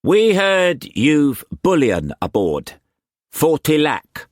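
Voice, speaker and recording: A swaggering pirate boss with a harsh Cockney accent, as a man puts him on. He talks high and loud, jovial but with menace beneath every offer of food. The storybook recording sounds dry and close. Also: huge pitch swings, takes it slowly, dry recording, full character voice. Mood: boisterous. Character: boisterous pirate chief man in Cockney slang.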